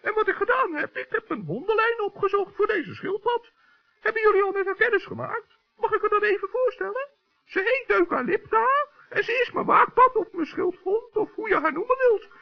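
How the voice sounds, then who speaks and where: A man's voice: very high voice, animated, normal speed, gravelly, voice pushed up, exaggerated voice. A man voicing a small, cheerful, chatty woodland gnome who teases kindly in a children's radio play. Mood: pleased, playful. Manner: chatty, playfully teasing. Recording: dull old 1960s radio recording.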